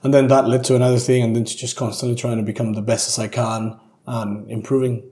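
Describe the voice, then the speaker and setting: A young man with a Peruvian accent speaks in a casual, slangy way, as a guest in a conversation. His voice is mid-pitched and somewhat mellow, and the room around him is clearly echoey. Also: flat delivery, quick pace. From Peru. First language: Spanish. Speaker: guest, drinks brand ambassador.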